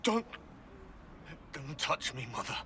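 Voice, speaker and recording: A man's voice: a little high, very animated, slow, bright, forceful tone, a little hiss or hum, heavily acted. An actor playing a wounded, distrustful male soldier, strained with pain, in a dramatic fantasy game. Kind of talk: dramatic video game acting.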